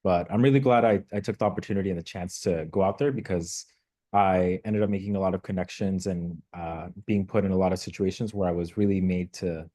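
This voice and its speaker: Deep-voiced young man, reflective. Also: fairly even intonation, warm tone. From Mexico. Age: young adult. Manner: measured, reflective, with filler words. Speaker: guest, local elected official. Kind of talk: casual interview answers.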